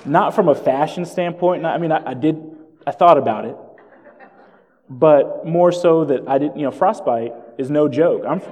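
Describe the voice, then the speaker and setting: A man's voice: a little high, normal speed, a little mellow. Man preaching in a reverberant hall. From born in Jamaica, raised in South Florida. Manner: conversational, storytelling with self-deprecating asides. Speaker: pastor. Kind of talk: sermon.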